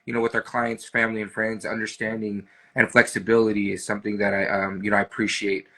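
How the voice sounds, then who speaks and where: A man's voice: lowish voice, level tone, fast, pushed and bright. A man with an American accent co-hosting a casual live stream chat, talking in a rambling, conversational way with frequent check-in tags. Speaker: real estate team leader, co-host.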